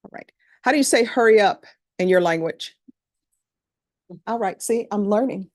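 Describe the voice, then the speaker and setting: A woman's voice: mid-range voice, normal speed, darkish tone, dry recording. Louisiana-accented woman judge, dry sound. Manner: conversational, plain-spoken storytelling. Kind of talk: addressing a jury panel in court. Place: courtroom.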